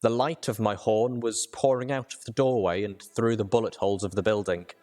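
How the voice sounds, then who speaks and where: A man's voice: on the low side, fairly even intonation, a little fast, darkish tone, faint music behind. A man reading scripted first-person fiction as a dramatic audiobook narrator.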